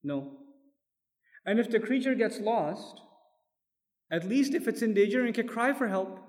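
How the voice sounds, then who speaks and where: A man's voice: high voice, animated, a little slow, darkish tone. A man teaching a Quran lesson as a sermon, explaining step by step, quoting Arabic verses and putting questions to his listeners. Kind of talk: lecture-style sermon. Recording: long hall reverb.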